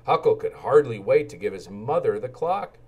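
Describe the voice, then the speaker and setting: A man reads a children's storybook aloud as a storyteller. His delivery is expressive and animated, with intonation that moves widely from line to line. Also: mid-range voice, normal speed, husky. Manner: animated, acts out character lines.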